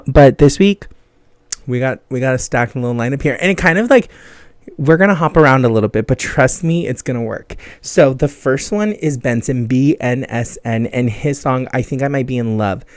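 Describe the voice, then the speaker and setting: A man's voice: medium pitch, moderate pace, smooth, dry recording. A man hosting a podcast in a casual, enthusiastic and emphatic monologue, recommending music in a chatty way. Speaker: podcast host.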